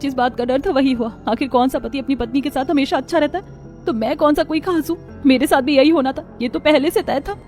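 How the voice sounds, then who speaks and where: A woman voicing a timid, anxious young daughter-in-law in an animated family drama, meek and pleading. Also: high-pitched, a little fast, soft background music, lightly acted. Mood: dejected.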